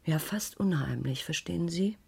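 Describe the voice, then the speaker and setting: An actress in a German radio crime play playing an uneasy married schoolteacher who gives a hesitant, detailed account to police. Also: female voice, low voice, moderate pace, gravelly, darkish tone, slightly rough, full character voice. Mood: uneasy, recounting. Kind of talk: 1980s German radio drama dialogue.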